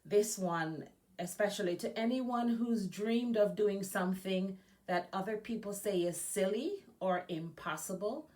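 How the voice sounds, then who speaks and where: A woman from Jamaica with a medium-pitched voice reads aloud for a children's story time. She is a guest reader from an education nonprofit and adds informal asides of her own as she goes. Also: unhurried, a little mellow. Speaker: education nonprofit executive director reading as guest.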